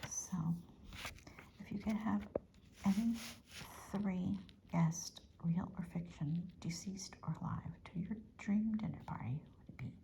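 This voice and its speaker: A woman's voice: mid-range voice, unhurried, poor audio. A woman whispering through an ASMR reading, playful and chatty in her asides. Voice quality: mostly whispered, breathy and soft. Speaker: ASMR vlogger.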